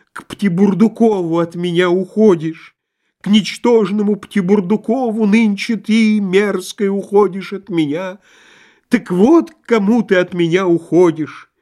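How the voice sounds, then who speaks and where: A whining, nasal husband in a comic storybook. His voice climbs high, stretching words into tragic, self-pitying declamation. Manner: drawn-out, declamatory wailing.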